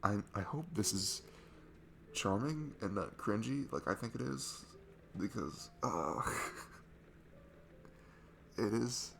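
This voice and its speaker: A man playing a shy, nervous, sweet guy at a bar in an intimate ASMR roleplay, stammering and rambling apologetically in a low, gravelly, breathy voice. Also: lively intonation, slow pace, long pauses, fairly bright tone, a little hiss or hum, heavily acted. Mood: embarrassed, apologetic. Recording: music behind the voice. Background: music.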